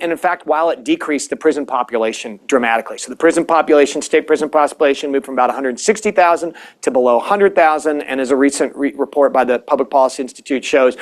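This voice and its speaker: A man speaking on a panel, laying out statistics in a steady argument with frequent small restarts. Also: medium pitch. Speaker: panelist presenting policy data. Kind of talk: panel talk.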